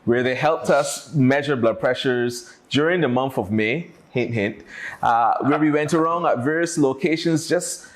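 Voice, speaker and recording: A man's voice: medium pitch, a little slow. A Barbadian man hosting a health podcast, chatty and playful with puns. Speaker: podcast host. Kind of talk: casual health podcast chat.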